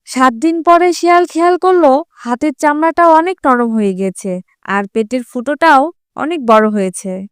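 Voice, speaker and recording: High-voiced woman storyteller, dry recording. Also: normal speed, dry recording. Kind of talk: scripted children's fable narration.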